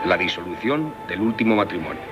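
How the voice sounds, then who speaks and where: An actor playing a composed, authoritative lawyer in a 1970s radio drama, measured and formal. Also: male voice, low-pitched, lively intonation, highs cut off, over music. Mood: firm, calming. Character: composed, authoritative male lawyer, calming and matter-of-fact. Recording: 1978 radio recording, faint noise.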